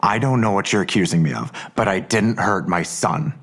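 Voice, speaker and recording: A worn-down male detective speaks in a deep, raspy voice. He is terse and guarded, a defensive, anxious father in an audio drama. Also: normal speed, a bit bright, heavily acted. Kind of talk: full-cast audio drama.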